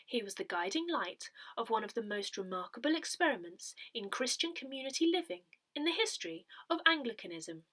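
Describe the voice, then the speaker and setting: A woman reading a parish newsletter aloud from a script, in a measured, carefully enunciated way. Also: on the high side, big pitch swings, a bit bright. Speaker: newsletter reader.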